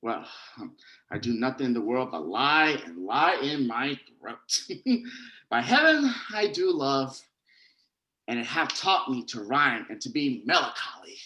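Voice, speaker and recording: Man acting bawdy young lover, Shakespearean stage clowning, wildly varied pitch, unhurried delivery, mock-tragic exclamations. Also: big pitch swings, bright, forceful tone, rough recording. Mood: lovesick, giddy. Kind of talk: Shakespearean staged reading, horror parody.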